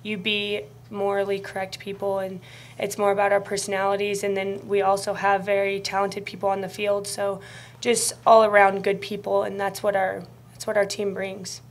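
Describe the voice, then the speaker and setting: A woman in her early twenties with an American accent, a college athlete giving an earnest, steady answer at a press conference. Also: mid-range voice, normal speed. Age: early 20s. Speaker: college softball player. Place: indoor press conference room. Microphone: tabletop microphone.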